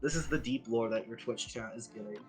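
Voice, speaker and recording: A man's voice: mid-range voice, brisk, faint music behind. Congested young man, blunt and swearing. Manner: blunt, swearing, laughing often. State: congested from a sinus infection. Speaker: streamer's guest. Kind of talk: casual chat.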